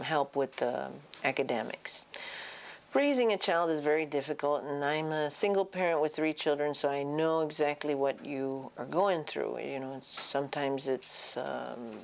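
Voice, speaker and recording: A middle-aged woman speaking as a child psychiatrist, giving an informational health talk in a halting, deliberate way. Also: rumbling low, slight background noise.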